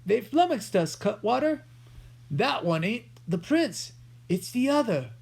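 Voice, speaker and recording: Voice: mid-range voice, big pitch swings, unhurried, creaky, darkish tone, voice pushed up, exaggerated voice. A reader voicing a huge, hairy male outlaw who roars and snaps orders. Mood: angry. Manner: roaring, snapping.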